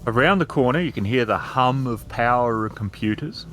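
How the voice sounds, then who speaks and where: A man's voice: mid-range voice, animated, normal speed, a bit bright. A man working as game master, narrating a horror scene descriptively for a tabletop roleplaying game. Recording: faint background ambience noise.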